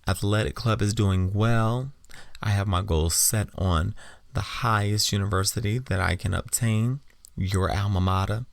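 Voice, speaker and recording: A man voicing a dutiful teenage son who answers his mother in flat, brushed-off replies. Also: fairly low pitch, a little slow, gravelly, no room sound, clean studio sound, pitched below the performer's own voice. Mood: reassuring.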